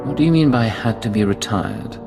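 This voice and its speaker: An actor playing a blunt, guarded imperial secret agent in a video game, terse and dry. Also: male voice, on the low side, lively intonation, brisk, vocal fry, over music. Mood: dry, businesslike.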